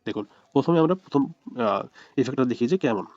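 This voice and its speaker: Very fast-talking man giving a tutorial. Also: mid-range voice, animated, highs cut off, heavy background noise. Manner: explanatory, repeats points step by step. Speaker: video editing instructor. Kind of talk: tutorial screen-recording narration.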